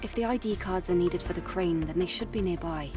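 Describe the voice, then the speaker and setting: A woman's voice: fairly low pitch, brisk, recorded from a distance, music in the background, lightly acted. A woman playing a composed, serious young soldier in a video game, speaking in a matter-of-fact, reserved way. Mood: businesslike. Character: composed, serious young female soldier, literal-minded and dutiful.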